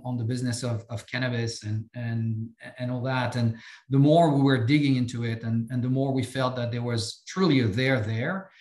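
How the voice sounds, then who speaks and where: A man in his fifties from France with a French accent, speaking as a company chief executive guest in a podcast interview, halting with many repeated words and fillers. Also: mid-range voice, roomy sound.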